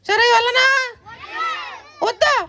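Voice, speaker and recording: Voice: very high voice, slow pace, pushed and bright, a faint crowd, heavily acted. A puppeteer voicing a hungry, chatty child puppet that pleads and calls out to children in a live show. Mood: pleading, pouty.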